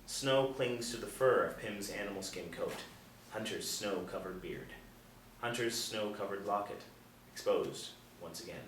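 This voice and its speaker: A man's voice: fairly low pitch, lively intonation, a little slow, a bit bright. A man reading stage directions as scripted narration at a table reading. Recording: roomy, distant mic, faint noise.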